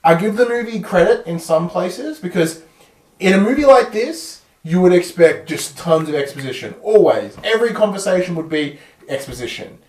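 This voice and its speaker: An Australian man talks casually as a podcast host. His voice sits a little high, and it comes across roomy and slightly distant from the microphone. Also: lively intonation, moderate pace, darkish tone. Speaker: podcast host reviewing films. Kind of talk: casual chat. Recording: roomy echo, distant from the mic.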